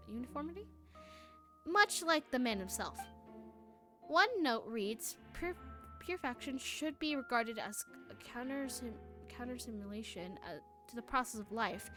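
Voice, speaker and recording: A woman livestreaming a game with casual commentary, muttering asides and haltingly reading text aloud, correcting herself as she goes. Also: fairly high pitch, a bit bright. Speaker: live game streamer. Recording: music playing behind the voice. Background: game music.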